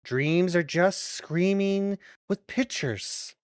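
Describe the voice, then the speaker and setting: Slow-paced streamer in a dry, close space. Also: mid-range voice, no room sound. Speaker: streamer reacting to videos. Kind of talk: casual watch-along commentary mixed with reading on-screen text aloud.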